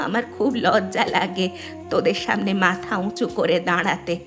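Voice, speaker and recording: A woman voicing an elderly village mother-in-law in a cartoon, weary and humbled, with a slow, plaintive, confessional way of talking. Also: medium pitch, music in the background, natural voice. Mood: humbled, grateful. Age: older. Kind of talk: Bengali moral cartoon dialogue.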